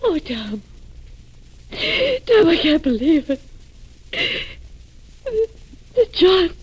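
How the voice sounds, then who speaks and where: A woman's voice: very high voice, very animated, takes it slowly, full character voice, poor audio. A woman playing a fragile, grief-stricken young widow, melodramatic and pleading in 1940s radio style.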